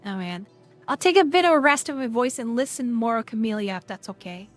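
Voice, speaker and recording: Gushing streamer woman, slightly high voice. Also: expressive, a little fast, soft background music. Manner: rambling and gushing, lots of fillers. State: voice getting worn from yelling. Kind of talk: casual stream chat.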